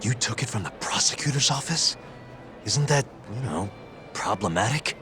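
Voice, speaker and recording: A man plays an easygoing private investigator from a video game. His slightly low voice moves around a lot in pitch, casual and a little awkward, with dry politeness. Music sits faintly behind him. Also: animated, moderate pace, lightly acted. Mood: calmer, questioning. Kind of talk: English game dub cutscene acting, naturalistic.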